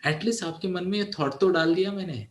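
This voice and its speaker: A man's voice: high voice, moderate pace, echoey room. A man in his forties, a teenage mentor, giving a motivational talk and often asking his listeners "है ना?". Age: 40s. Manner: mixes English phrases into Hindi, asks "है ना?" often.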